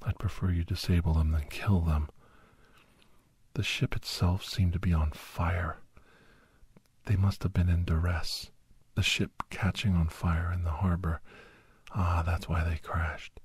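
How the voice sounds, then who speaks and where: A man playing a grave, anxious doctor and old soldier telling a battle story in hushed, halting, breathy ASMR narration that often drops into whispers. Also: low-pitched, little pitch movement, dry recording, exaggerated voice. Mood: tense, deliberate. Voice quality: breathy, husky. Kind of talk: ASMR first-person dramatic story narration.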